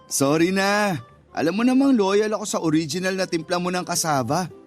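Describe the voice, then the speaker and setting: A man's voice: sits high, moderate pace, soft background music, close to an everyday voice. A man acting a teasing, naive customer and suitor in a Tagalog radio drama, joking and placating.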